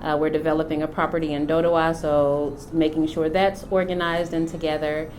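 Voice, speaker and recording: Low-pitched middle-aged woman from the US, reflective founder testimony, faint hum behind. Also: flat delivery, darkish tone. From United States. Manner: unhurried, thoughtful, many filler pauses. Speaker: company founder and CEO.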